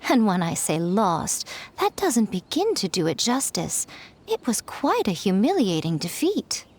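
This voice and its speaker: A woman's voice: medium pitch, big pitch swings, measured pace, a little mellow, husky. A woman voicing a smug, playful, mocking female trickster in an anime game. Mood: smug teasing. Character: sly, teasing, self-assured woman trickster.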